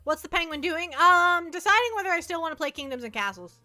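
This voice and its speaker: A woman's voice: very high-pitched, animated, fast talker, bright, forceful tone, soft background music. A woman chatting casually to viewers as a livestream host, rambling playfully and often repeating her phrases.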